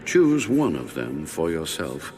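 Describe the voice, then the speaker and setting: A man playing a stern, commanding God pronouncing judgment with slow, solemn delivery in an audio Bible drama. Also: extremely low pitch, huge pitch swings, a little mellow, exaggerated voice. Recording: long cavernous reverb over music.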